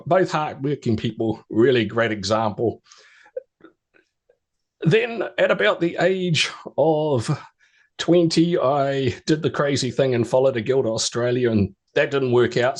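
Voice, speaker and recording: Chatty middle-aged New man. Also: medium pitch. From New Zealand. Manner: rambling storyteller with restarts and stammers. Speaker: podcast guest. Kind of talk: casual interview chat.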